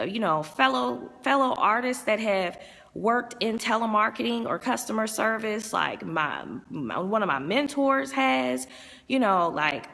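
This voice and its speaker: A woman's voice: on the high side, gravelly, bright, forceful tone, in a large hall. A woman chatting on a live vlog, rambling about her work and reading viewer comments, her voice worn from talking all day. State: strained voice from overuse. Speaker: vlogger and music promoter. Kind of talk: casual live-stream chat.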